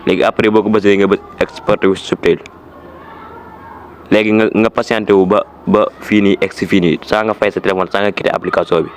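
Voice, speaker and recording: A man's voice: lowish voice, level tone, fast talker, slight background noise. A man with a Senegalese accent gives an informal step-by-step app tutorial, repeating instructions and mixing in French words. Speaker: tutorial presenter.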